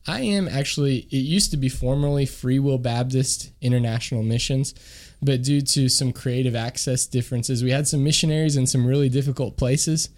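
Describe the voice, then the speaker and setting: A man's voice: medium pitch, normal speed, darkish tone. A man from Kentucky, a missionary guest in a studio, telling his testimony in an earnest, sincere way. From Kentucky, USA. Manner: earnest, sincere, with frequent restarts. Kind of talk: personal testimony in an interview.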